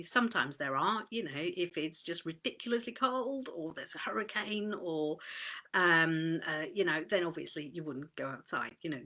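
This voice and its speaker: A woman from Denmark speaks in a relaxed, chatty way on a live video stream, full of fillers and small restarts. Her voice sits fairly low but sounds bright and crisp. She sounds a little tired, talking from a small, dim home office. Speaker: host of an online community talk. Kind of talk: live video talk to a group.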